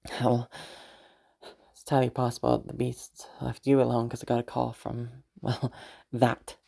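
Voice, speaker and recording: Young noblewoman for audio roleplay played by a woman: very deep for a female voice, gravelly with heavy fry, fond, teasing, hesitant. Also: completely flat delivery, exaggerated voice. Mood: somber, hesitant. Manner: hesitant, halting, with soft chuckles and sighs. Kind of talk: roleplay audio drama, intimate conversational acting.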